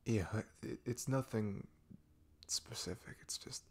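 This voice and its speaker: A man acting a husky, halting, downcast boyfriend. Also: medium pitch, a little mellow. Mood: sad, confiding. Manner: halting, hesitant, sighing and trailing off. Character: depressed, hesitant boyfriend opening up to his partner. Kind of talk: intimate ASMR roleplay.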